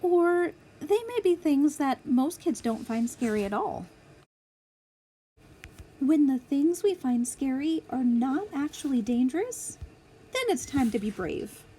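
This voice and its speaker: Slow, high-voiced woman reading to children. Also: darkish tone, low-quality recording. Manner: deliberate, clearly phrased for young children. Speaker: storytime host reading a picture book aloud. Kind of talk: children's read-aloud narration.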